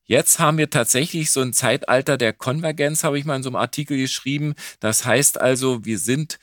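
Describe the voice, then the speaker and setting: A man's voice: mid-range voice, fairly quick, a bit bright, dry recording, studio quality. A man explaining medicine as an expert, conversational and stressing key phrases. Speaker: rheumatologist, professor, expert speaker.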